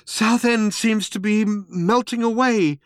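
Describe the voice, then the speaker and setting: A man voicing a bewildered, anxious man who speaks in halting exclamations, in an audiobook story. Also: high voice, dry recording, studio quality, voice pushed up. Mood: alarmed, fretful. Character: bewildered, anxious man, mildly fretful and stammering.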